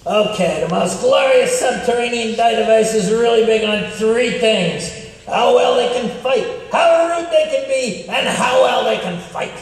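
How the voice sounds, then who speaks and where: High, gravelly wisecracking cockroach. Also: male voice, measured pace, heavily acted. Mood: blunt, sarcastic. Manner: slangy, brash streetwise wise guy. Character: wisecracking, crude giant cockroach grand vizier. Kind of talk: comic radio theatre acting. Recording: echoey hall from afar, faint noise.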